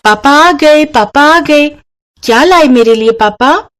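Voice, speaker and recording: A woman's voice: high-pitched, expressive, takes it slowly, fairly bright tone, pitched above the performer's own voice, full character voice. A woman voicing a playful, indulged seven-year-old village girl in a cartoon story, childlike, cheeky and dramatic. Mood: excited. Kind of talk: Hindi moral-story cartoon voice acting.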